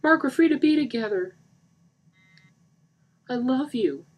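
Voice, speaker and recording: A woman reader voicing a distraught young woman who pleads in shrill, sobbing cries. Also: expressive, a little slow, slightly rough, full character voice. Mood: pleading, anguished. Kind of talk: amateur story reading.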